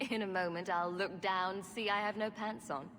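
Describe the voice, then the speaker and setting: A woman's voice: medium pitch, fairly even intonation, measured pace, fairly bright tone, long reverb tail, slight background noise, natural voice. An actress playing a wry, sarcastic young female rogue champion in a video game cutscene. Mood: wry, teasing. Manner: wry, teasing.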